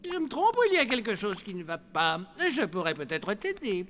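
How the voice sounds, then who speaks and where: A woman's voice: mid-range voice, sing-song, brisk, fairly bright tone, a little hiss or hum. A woman voicing a kindly, protective grown-up who warns a child in an earnest, insistent way.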